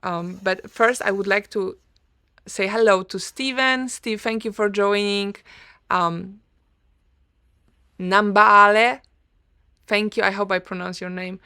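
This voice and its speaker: A woman with a Polish accent, a native Polish speaker, hosting an online interview in a soft-spoken, hesitant way with many ums. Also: mid-range voice, steady tone, slow pace, pauses often, clean tone, dry recording, studio quality. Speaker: host.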